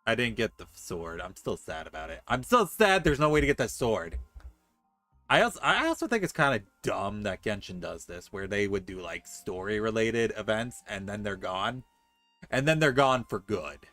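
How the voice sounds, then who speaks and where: A man's voice: fairly low pitch, big pitch swings, moderate pace, a bit bright, no room sound. A man doing casual, joking gameplay commentary as a game streamer. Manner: informal, joking, exclamatory. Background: faint game music.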